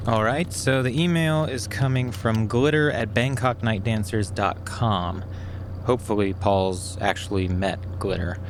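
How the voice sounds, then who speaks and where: An actor playing a sharp, methodical schemer who explains things conversationally in a naturalistic audio drama. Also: male voice, fairly low pitch, measured pace, noisy background. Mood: focused, conspiratorial. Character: sharp, methodical male schemer plotting revenge on a swindler.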